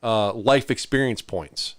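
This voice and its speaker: A man's voice: medium pitch, measured pace, no echo, clean studio sound. A middle-aged man co-hosting a podcast, chatting casually and telling stories in an informal way, acting out bits of dialogue. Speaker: podcast co-host. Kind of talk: casual chat, reminiscing.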